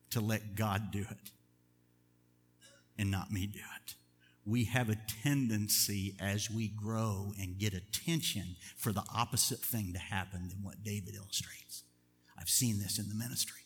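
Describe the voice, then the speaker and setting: A middle-aged man, a pastor, preaching a sermon in an earnest, measured way, slowing down to stress key words. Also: lowish voice, breathy edge. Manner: earnest, measured preaching with deliberate slow emphasis. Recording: long reverb of a large hall.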